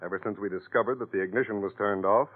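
Shrewd, lowish-voiced police captain. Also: male voice, fast talker, slightly rough. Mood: quietly accusing. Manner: businesslike, probing, matter-of-fact. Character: shrewd, deceptively casual male police captain. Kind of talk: 1940s radio drama acting. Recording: tinny old radio recording.

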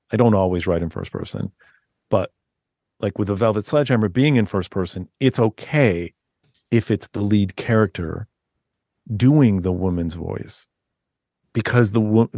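A man with a deep voice, a guest author talking in a loose, rambling way with many restarts. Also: moderate pace, darkish tone, dry recording, clean studio sound. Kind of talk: casual interview chat.

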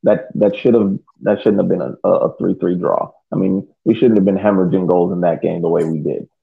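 A man co-hosting a soccer podcast, giving casual analysis in a halting, deliberate way. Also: sits low, steady tone, creaky. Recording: tinny phone-like call audio.

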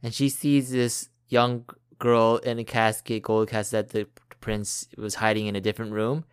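Very low, smooth-voiced woman chatting. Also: flat delivery, moderate pace. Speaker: podcast co-host and quiz contestant. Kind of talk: casual storytelling chat.

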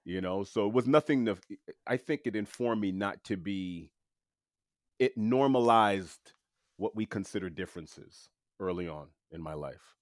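Interview guest: New man, very low voice, unhurried and halting, lots of fillers, dry close sound. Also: no echo. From Queens, New York City. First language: French. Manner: relaxed, halting, with many fillers and restarts. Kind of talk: casual storytelling.

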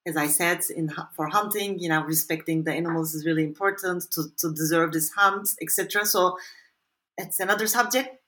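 A woman's voice: lowish voice, brisk, bright, forceful tone. A woman with a Turkish accent, an author and anthropologist answering interview questions in a thoughtful, hedging way. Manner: thoughtful, hedging, frequent small laughs.

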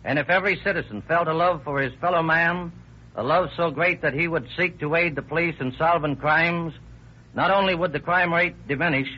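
A man speaks as a police captain addressing radio listeners formally from a script. His voice is rather high and rough, on a muffled vintage radio recording. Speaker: police captain, guest introducer.

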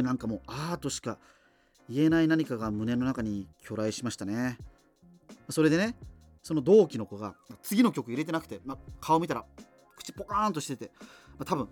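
Animated, rambling male radio host, played by an actor. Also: medium pitch, natural voice. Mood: reflective, wry. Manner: wry, digressive, full of hesitant fillers. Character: rambling, self-deprecating male radio host in doomed 2039. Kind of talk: conversational radio drama monologue, reminiscing.

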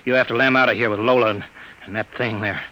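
Jumpy, tense, cowardly gangster. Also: male voice, on the low side, fairly even intonation, fast, bright, forceful tone, rough recording. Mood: nervous, jittery. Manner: stammering, pleading, slangy. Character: jumpy, pleading fat small-time gangster, cowardly. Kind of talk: classic radio crime drama acting. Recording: old radio recording, faint noise.

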